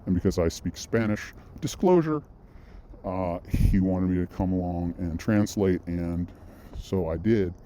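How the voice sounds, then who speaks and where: A man's voice: low-pitched, measured pace, warm tone, slight background noise. A man vlogging, telling a personal story in a loose, rambling way, full of restarts and side notes. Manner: rambling, with frequent restarts and asides. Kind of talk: casual storytelling monologue.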